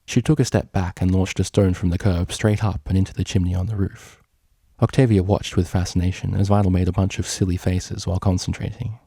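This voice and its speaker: A man's voice: sits low, fairly even intonation, a little fast, warm tone, breathy edge, no room sound, studio quality. A man reading a story aloud as scripted narration. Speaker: fan fiction reader.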